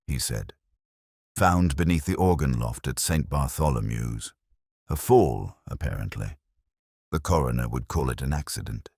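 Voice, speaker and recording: A man voicing a weary middle-aged detective, narrating in a measured, melancholy, literary way. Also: rumbling low, gravelly, a little mellow, breathy edge, no echo, studio quality, heavily acted. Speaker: first-person narrator. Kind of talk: scripted audio drama narration.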